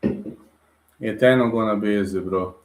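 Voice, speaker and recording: A man's voice: lowish voice, slow pace, darkish tone. Blunt man in a roomy echo. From Bulgaria. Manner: direct, blunt, addresses viewers as guys, non-native phrasing. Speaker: livestream host. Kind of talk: casual livestream chat, reading and answering viewer comments.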